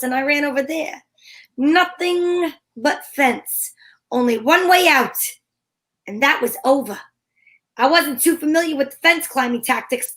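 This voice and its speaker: A woman's voice: high voice, fairly bright tone. A woman playing a wisecracking female detective, narrating her adventure in wry, slangy old-time radio style.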